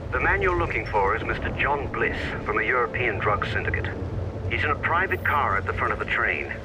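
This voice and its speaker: A man's voice: medium pitch, animated, a little fast, distant mic, heavy background noise, close to an everyday voice. An actor playing a careful, matter-of-fact male intelligence aide giving mission briefings in a video game. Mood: cautionary briefing.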